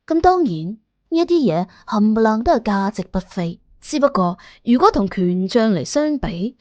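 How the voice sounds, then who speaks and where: A woman narrating a suspense audiobook in an expressive, colloquial storytelling style. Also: mid-range voice, no echo, highs cut off. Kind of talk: scripted suspense storytelling in Cantonese. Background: music under the voice.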